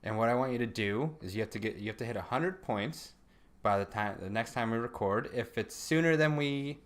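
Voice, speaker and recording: A man's voice: medium pitch, fairly quick. A man co-hosting a podcast, talking informally and slangily in casual banter with a friend. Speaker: podcast co-host.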